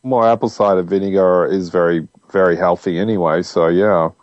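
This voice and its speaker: A man's voice: low voice, steady tone, moderate pace, no room sound, muffled recording. A man speaking conversationally as an interview guest, explaining health ideas and telling personal anecdotes, emphatic when quoting others.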